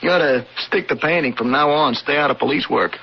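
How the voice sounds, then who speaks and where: An actor playing a tough, hearty police lieutenant with folksy cop talk in a radio drama. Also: male voice, on the high side, fairly quick, vocal fry. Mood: hearty, admiring. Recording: muffled old radio broadcast, faint noise.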